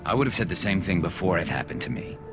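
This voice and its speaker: A man's voice: deep voice, lively intonation, fast, music in the background. A man playing a vengeful, embittered alien soldier in a video game, clipped and grim, with a flanged voice effect. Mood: bitter, defensive. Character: vengeful, embittered male alien soldier, weary and hard-edged. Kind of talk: video game dramatic dialogue.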